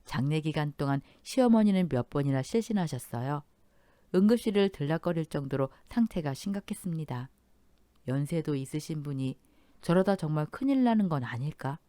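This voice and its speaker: A woman narrating a dramatic family story in a measured, somber tone. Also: deep voice, animated, mellow, no echo.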